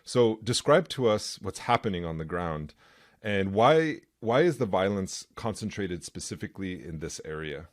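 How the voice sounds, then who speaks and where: A man's voice: sits low, animated, moderate pace, no echo, clean studio sound. A man hosting a podcast interview, earnest and conversational. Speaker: podcast host.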